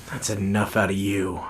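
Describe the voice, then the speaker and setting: A man playing a gruff, sardonic but caring warrior hero in a video game, terse and blunt. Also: on the low side, reverberant. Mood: weary, bitter. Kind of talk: video game cutscene acting.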